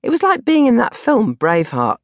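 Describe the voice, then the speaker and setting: A woman's voice: fairly high pitch, huge pitch swings, a little fast, dry recording, studio quality. A woman narrating a comic first-person diary as an audiobook, with a dramatic, exasperated delivery. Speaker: audiobook narrator reading her own novel.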